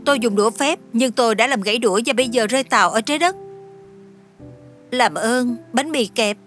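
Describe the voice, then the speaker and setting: Woman playing quick-talking, naive young alien girl. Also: on the high side, a bit bright, soft background music, close to an everyday voice. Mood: matter-of-fact, impatient. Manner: blunt, innocently literal. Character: naive young female alien wish-granter, earnest, curious, impatient. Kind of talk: dubbed fantasy film dialogue.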